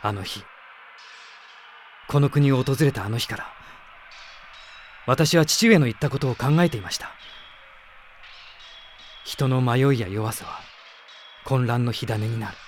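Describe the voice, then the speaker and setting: An actor playing an idealistic young prince with a formal, sincere, intense manner in a radio drama. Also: male voice, mid-range voice, a little slow, long pauses, a little hiss or hum, lightly acted. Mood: earnest pleading. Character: earnest, idealistic young prince leading an army. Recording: music behind the voice.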